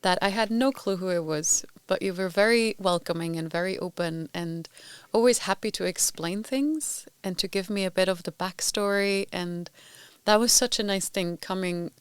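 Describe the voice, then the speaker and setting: A woman's voice: mid-range voice, normal speed, clean tone, no room sound. A woman interviewing a guest in a casual, chatty way, reminiscing and rambling a little. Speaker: interviewer, former PhD student.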